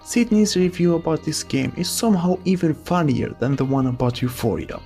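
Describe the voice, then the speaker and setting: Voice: medium pitch, lively intonation, brisk, warm tone, faint music behind. A narrator reading a scripted voice-over commentary with opinionated, wry asides. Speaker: video essay narrator.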